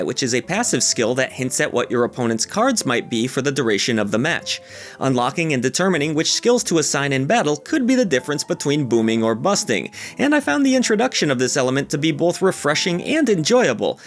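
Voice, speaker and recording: A man reading a scripted video game review as its narrator, over background music. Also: on the high side.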